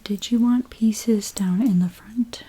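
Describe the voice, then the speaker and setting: A woman whispering breathily in an ASMR role play as a hairstylist, gently and unhurriedly narrating each step. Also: medium pitch, slow pace, husky.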